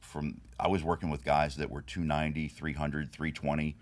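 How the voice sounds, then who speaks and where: A man in his fifties with an extremely low voice that has a raspy edge. He tells his story steadily and frankly, with many small "you know"s. He speaks as a guest in a casual podcast interview. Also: little pitch movement, normal speed. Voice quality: deep and raspy.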